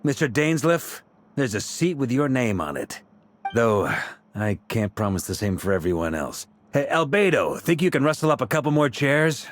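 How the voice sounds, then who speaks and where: A man's voice: medium pitch, moderate pace, slight background noise, natural voice. A man voicing an easygoing knight commander. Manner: relaxed, joking, friendly. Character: genial male knight commander, easygoing leader. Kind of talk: video game dialogue. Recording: game audio with soft music behind.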